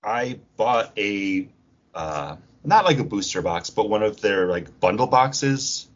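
A man with a lowish, rough-edged husky voice co-hosts a podcast. He talks casually, like an enthusiastic fan sharing news. The sound is a bit dull and lo-fi. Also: big pitch swings, slow. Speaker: podcast co-host. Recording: dull lo-fi recording.

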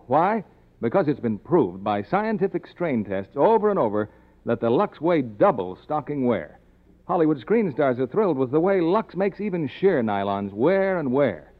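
An American man works as a 1950s radio announcer, reading scripted ads and credits. His pitch sits on the high side and swings widely. The broadcast sound is dull and lo-fi. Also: very animated, normal speed.

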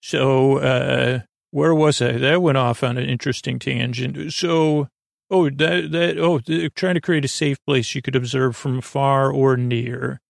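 A man with a creaky voice hosting a podcast as a soothing, gently whimsical bedtime monologue, meandering and hesitant, with many tangents and pauses. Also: medium pitch, steady tone, no room sound, clean studio sound. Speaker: podcast host.

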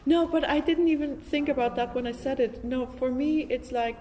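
A woman's voice: high voice, normal speed, dark tone. A woman hosting a casual, reflective interview, thinking aloud in an informal, enthusiastic way. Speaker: interviewer, podcast host. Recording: noisy, reverberant hall recording.

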